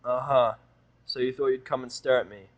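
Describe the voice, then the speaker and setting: A man's voice: mid-range voice, steady tone, normal speed, dull audio, lightly acted. Man acting a bitter, snappish human misanthrope. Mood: dismissive. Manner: snappish, ranting, swearing. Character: bitter, hostile, sarcastic human male misanthrope.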